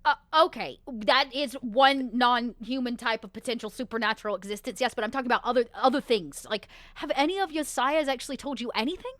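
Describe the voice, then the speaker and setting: A woman's voice: fairly high pitch, fairly quick, few pauses, a bit bright, no echo, clean studio sound. A woman playing a tabletop roleplaying game, improvising in character with emphatic explanations and pointed questions.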